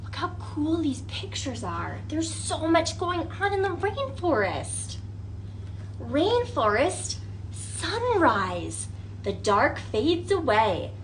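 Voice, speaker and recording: A woman with a high voice leads a storytime for small children. Her delivery is slow and exaggerated, with questions to the listeners. There is some faint noise behind her. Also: huge pitch swings, poor audio. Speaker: children's librarian leading storytime. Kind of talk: animated picture-book read-aloud for young children.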